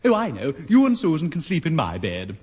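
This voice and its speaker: A man's voice: fairly high pitch, huge pitch swings, fairly quick, a little mellow, a little hiss or hum. A man playing a fussy, timid, prim bachelor, polite and easily flustered, in a 1960s British radio sitcom. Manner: flustered, polite, stammering, hesitant. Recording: old radio recording with hall reverb.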